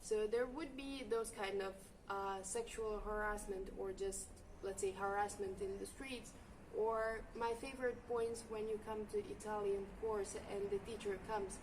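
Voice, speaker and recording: A woman's voice: mid-range voice, steady tone, a little slow. Woman in her 20s, volunteer coordinator, reflective interview, recorded from a distance. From Lithuania. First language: Lithuanian. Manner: fluent, thoughtful, non-native phrasing. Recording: distant mic with noticeable background noise.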